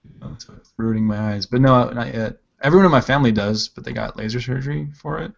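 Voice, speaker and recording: A man with a gravelly, creaky voice hosts an easygoing art stream and rambles through his answers with lots of fillers. His voice sits at an ordinary pitch. The audio sounds dull and lo-fi, like a home stream mix. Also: fast talker. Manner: relaxed, rambling, full of "like" and "um". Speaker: livestream host, digital artist. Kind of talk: casual chat while drawing on a livestream.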